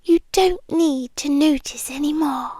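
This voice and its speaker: A British woman voicing a possessive vampire girlfriend in an ASMR roleplay, gentle and coaxing with quiet menace, often slipping into whispers close to the microphone. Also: high-pitched, no room sound. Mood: soothing, controlling. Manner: soft, deliberate, lingering pauses, slipping into whispers. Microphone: close microphone, dry.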